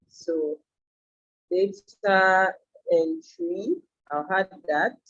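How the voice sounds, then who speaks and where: Woman, Nigerian accent, native Yoruba speaker, mid-pitched, informal online trainer, thinking aloud, slightly muffled lo-fi sound. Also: creaky. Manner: explanatory, thinking aloud while typing. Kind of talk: informal step-by-step tutorial.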